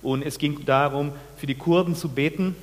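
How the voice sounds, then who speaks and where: A man in his forties from Peru, a pastor giving a sermon, speaking haltingly with many restarts and questions to the congregation. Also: on the high side, long reverb tail. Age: 40s. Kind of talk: sermon with personal testimony. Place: church.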